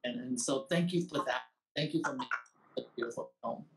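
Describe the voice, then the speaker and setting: A man's voice: mid-range voice, fairly quick, gravelly, slight background noise. A man with a Taiwanese Mandarin accent, hosting a talk show and speaking earnestly and emphatically in an interview. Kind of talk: interview conversation. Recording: voice sounds distant from the mic.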